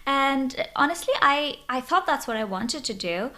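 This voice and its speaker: A woman's voice: high voice, lively intonation, normal speed, bright, forceful tone. A woman in her twenties from Bangladesh, a podcast guest telling her story in a bubbly, open way. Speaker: guest, social media influencer. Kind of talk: casual interview chat.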